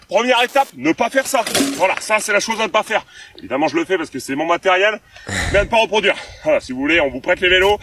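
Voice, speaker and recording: A man's voice: sits high, animated, fast, sharp tone, exaggerated voice. A man playing a pedantic veteran mountain-bike instructor in a comic sketch, lecturing and overexplaining the obvious. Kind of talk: comic parody sketch video. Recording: noisy clip from a distance.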